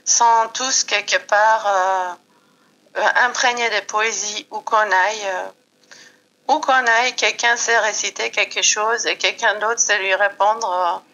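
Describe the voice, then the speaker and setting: Slow, hesitant woman with a Persian accent. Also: medium pitch, steady tone, pushed and bright, muffled recording. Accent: foreign accent in French. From Iran. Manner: hesitant, many pauses and fillers. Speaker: guest. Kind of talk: slow reflective interview talk.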